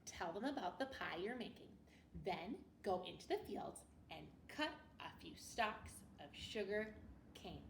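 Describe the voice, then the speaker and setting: A woman teacher reading a picture book aloud to children in an expressive way, often pausing to ask her listeners questions. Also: medium pitch, gravelly. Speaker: elementary school teacher. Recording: roomy, distant mic with faint noise.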